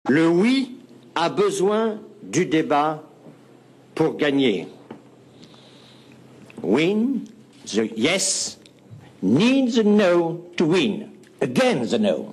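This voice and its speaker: A man giving a formal public speech in a slow, halting way. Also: fairly high pitch, very animated, a little mellow, breathy edge.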